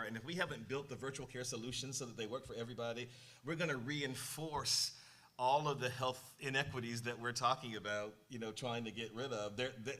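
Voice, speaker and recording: A man speaks on a panel with earnest urgency. His voice is bright and forceful, and it sits at an ordinary middle pitch. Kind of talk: panel discussion.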